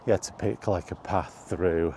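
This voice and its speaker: A middle-aged man chatting casually and reminiscing in a halting, thinking-aloud way, in short rambling phrases. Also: low-pitched, mellow, a little hiss or hum. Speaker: video creator and host. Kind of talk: casual reminiscing monologue about retro games. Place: outdoors while walking.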